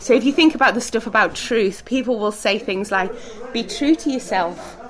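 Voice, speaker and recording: A woman's voice: fairly high pitch, moderate pace, a bit bright, low-quality recording. A woman teaching a church group in a casual, chatty way, laughing often and putting questions to the room over background noise. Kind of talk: talk with group discussion.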